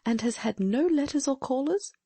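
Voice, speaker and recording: A woman's voice: high voice, expressive, normal speed, warm tone, no room sound. A woman voicing a brilliant, confident Victorian detective in an audiobook, reasoning aloud with precise diction. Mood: questioning. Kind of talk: audiobook dramatic reading.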